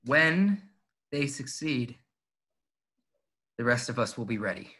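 A man playing a confident rebel commander briefing his troops, brisk and earnest. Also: medium pitch, big pitch swings, bright, forceful tone, full character voice. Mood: resolute. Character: confident male resistance pilot-commander giving a briefing. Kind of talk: fan table read of a film script.

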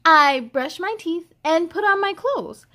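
A woman voicing an excited, wide-eyed first-grade boy telling a storybook tale with an expressive delivery. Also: very high-pitched, unhurried, heavily acted. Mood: wondering. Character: excited young first-grade boy narrating his bus ride.

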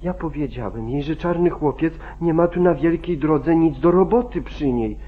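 A man's voice: on the high side, normal speed, lightly acted. Man acting a frightened, pleading young farmhand, phone-like sound. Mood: agitated, explaining. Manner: pleading, repetitive, protesting. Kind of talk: old radio drama acting. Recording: muffled, narrow-band 1940s radio recording.

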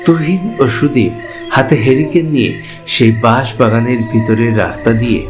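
A man's voice: medium pitch, animated, normal speed. A man narrating a scripted ghost story as a storyteller. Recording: clear music bed under voice.

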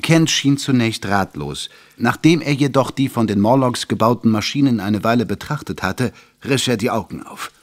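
A man's voice: medium pitch, moderate pace. A man delivering scripted, dramatic narration for an audio drama. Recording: music bed under narration.

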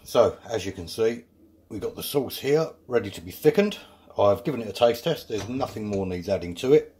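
A man's voice: on the low side, animated, moderate pace, a little mellow, a little hiss or hum. A man chatting casually through a home cooking video, explaining each step with self-mocking asides. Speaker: home cook. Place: small home kitchen.

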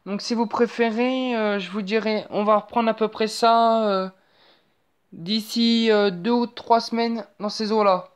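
Give me a young woman chatting casually over a screen recording, hesitant and rambling, with a higher voice. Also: steady tone, measured pace, clear, resonant tone. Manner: rambling, hesitant, many fillers and restarts. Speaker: channel host.

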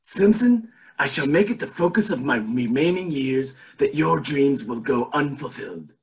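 A man's voice: mid-range voice, animated, moderate pace, a little hiss or hum, lightly acted, poor audio. A man on a video call playing a scheming, pompous old tycoon in an amateur cartoon table read, with theatrical delivery. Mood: bitter, menacing. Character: scheming old male tycoon running for governor.

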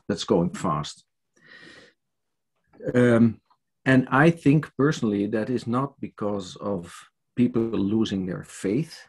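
Older Dutch-accented man, hesitant church-leader guest on a headset video call, husky and unhurried. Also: lowish voice, a little mellow. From Netherlands. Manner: hesitant, many fillers and restarts. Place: home study with bookshelves.

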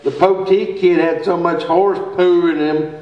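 A man's voice: sits high, steady tone, a little slow. A man playing a grumbling, needling rodeo rider with blunt rural talk in a live radio comedy. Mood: grumbling, teasing. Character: grumbling, teasing small-town rodeo rider. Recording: echoey, dull theater recording.

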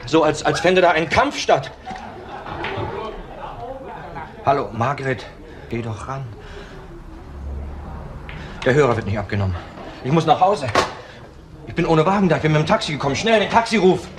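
An actor playing an agitated, evasive husband in his thirties in a crime drama, speaking haltingly and repeating words. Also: a little high, expressive, background noise, close to an everyday voice. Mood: panicked. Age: 30s. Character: young widower husband, agitated, evasive under questioning. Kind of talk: German crime drama acting.

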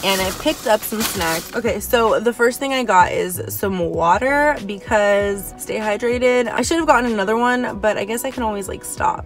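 A woman's voice: medium pitch, moderate pace, hardly any pauses, soft background music. A woman in her twenties talking casually and upbeat to the camera from the driver's seat of a parked car. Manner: chatty and upbeat, frequent "like" fillers. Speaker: vlogger. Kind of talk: casual vlog talk to camera.

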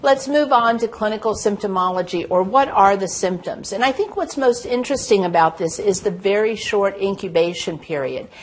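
A woman's voice: mid-range voice, moderate pace, hardly any pauses. An older woman presenting an instructional health lecture in an explanatory way, stressing key points. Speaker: health educator presenting a webcast. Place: indoor presentation studio at a lectern. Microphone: lapel mic.

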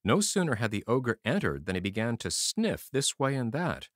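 A man narrating a fairy tale as an audiobook reader, in a measured, expressive storytelling manner. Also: mid-range voice, no echo, studio quality.

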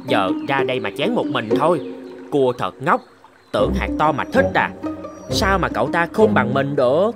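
A man's voice: medium pitch, big pitch swings, moderate pace, over loud music, close to an everyday voice. A man voicing a sly, greedy, selfish young monkey in a children's cartoon, scheming and smug.

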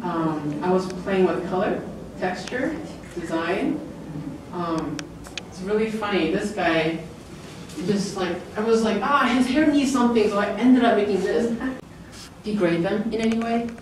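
Middle-aged woman presenter, chatty and laughing, recorded from far off in an echoey lecture room with steady noise. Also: unhurried. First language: English. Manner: chatty, laughing, acting out quoted lines with pitch jumps. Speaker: author and illustrator giving a book talk. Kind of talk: informal presentation. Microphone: far camera in the room. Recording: roomy, distant camera audio with noise.

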